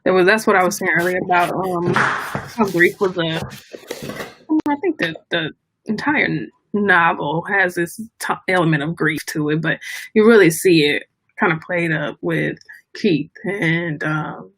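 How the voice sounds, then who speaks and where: Lower-pitched woman in casual, thoughtful discussion. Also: a bit bright, slightly rough, no room sound, low-quality recording. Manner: thoughtful, halting, searching for words. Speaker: book club discussion host.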